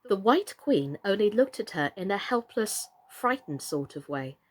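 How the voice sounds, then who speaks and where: A woman reading a children's story as an expressive narrator. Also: mid-range voice, unhurried. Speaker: storyteller and reader.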